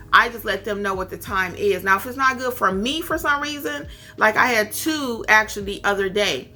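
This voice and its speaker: A woman's voice: mid-range voice, bright, forceful tone. An American woman giving an instructional talk as a tutorial host, stressing and repeating her key points. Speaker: instructor and host of tutorial videos for notary signing agents. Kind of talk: instructional talk with acted-out example phone calls.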